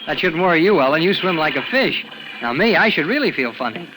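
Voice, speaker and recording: A man's voice: on the high side, very animated, fast, background noise. A young man playing a nervous, evasive teenage boy who narrates his story in 1940s radio drama style, earnest and boyish with a hesitant delivery. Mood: nervous, pleading. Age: young adult. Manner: boyish, earnest, hesitant stammering under pressure. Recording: vintage 1940s radio recording with music.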